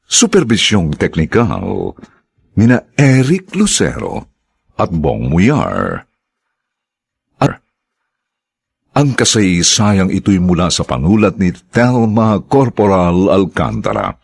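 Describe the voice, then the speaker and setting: A man's voice: low-pitched, huge pitch swings, slow, vocal fry, pauses often, slightly rough. A man announcing and narrating a radio drama in a formal, dramatic way. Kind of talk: old-style radio announcing.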